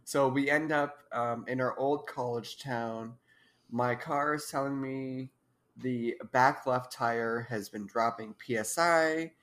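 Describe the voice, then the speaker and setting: A woman's voice: extremely low pitch, slow pace, a bit bright. A young woman in her twenties, a podcast co-host, talking casually and giggling often while stuffed up with allergies. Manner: giggly, rambling. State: congested. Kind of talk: casual chat.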